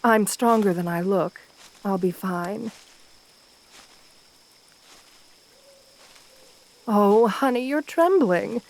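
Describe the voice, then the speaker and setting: A woman's voice: medium pitch, a little slow, close to an everyday voice, rough recording. A woman playing an assertive, flirtatious vampire countess in an ASMR roleplay, speaking to the listener in a genteel, doting way. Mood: doting, coaxing. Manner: genteel, doting, uses pet names.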